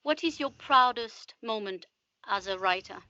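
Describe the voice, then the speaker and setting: Bright, high-voiced woman interviewer. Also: lively intonation, measured pace, no echo, lo-fi sound. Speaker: interviewer, theatre company artistic director. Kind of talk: prepared interview questions.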